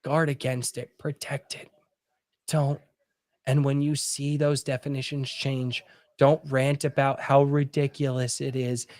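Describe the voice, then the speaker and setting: A man hosting a podcast, arguing emphatically and cursing freely. He slows down to hammer his points home. His voice sits at an average pitch and sounds dry and close to the mic. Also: a little mellow, no echo. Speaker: host. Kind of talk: heated podcast discussion.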